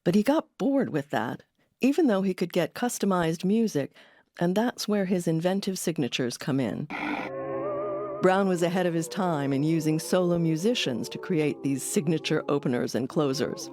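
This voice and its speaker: A woman narrates a documentary in a low-pitched voice, reading from a script as its host. Music plays clearly behind her. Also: lively intonation, normal speed.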